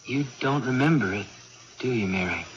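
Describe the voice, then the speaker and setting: An actor playing an earnest, concerned young brother in a radio drama, speaking a little hesitantly. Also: male voice, medium pitch, animated, normal speed, close to an everyday voice. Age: young adult. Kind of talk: 1980s radio drama acting. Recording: dull, noisy vintage radio recording.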